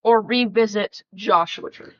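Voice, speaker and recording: A woman's voice: medium pitch, unhurried, dull audio. A woman reading out questions and chatting casually on a podcast. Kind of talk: casual question-and-answer chat.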